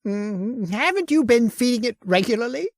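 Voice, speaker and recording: A woman's voice: a little high, moderate pace, no room sound, studio quality. A woman playing an obsessed, reckless male scientist in an old-time radio horror drama, swinging between wonder and scorn. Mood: brusque. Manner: excitable, marvels and snaps by turns.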